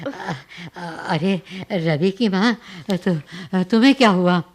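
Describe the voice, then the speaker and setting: An actor playing a sick, needy old father in a radio drama, plaintive and halting, with breathless groans. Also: sits high, full character voice. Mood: pleading, weak. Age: older.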